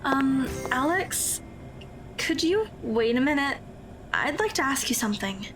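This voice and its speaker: A woman playing an awkward, nervous high school girl in an audio drama, a little hesitant, with music behind her. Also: big pitch swings, unhurried, a bit bright, exaggerated voice, poor audio. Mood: flustered. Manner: hesitant, stammering when flustered.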